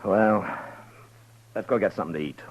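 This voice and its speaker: An actor playing a steady frontier marshal in a 1950s radio western, narrating in a slow, measured, low-key way. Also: male voice, husky, muffled recording. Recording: old radio broadcast with music.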